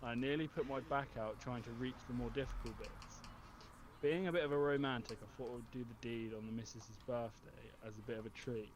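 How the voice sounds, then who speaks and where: A man's voice: medium pitch, steady tone, a little fast. A man reading a humorous written review aloud as a storyteller. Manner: often breaking into laughter. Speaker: host. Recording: very noisy mic with clicks.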